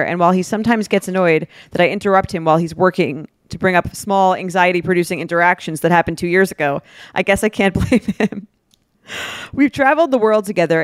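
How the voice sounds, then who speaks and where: A woman's voice: fairly low pitch, dry recording, studio quality. A woman co-hosting a podcast, reading a script aloud with some casual banter. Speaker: podcast co-host.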